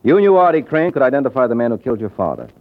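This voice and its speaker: An actor playing a glib, sardonic private detective in an old-time radio drama. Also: male voice, mid-range voice, big pitch swings, racing, noisy background, close to an everyday voice. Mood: insistent. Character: wisecracking, unflappable hard-boiled male private detective. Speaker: lead detective. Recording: muffled old radio recording.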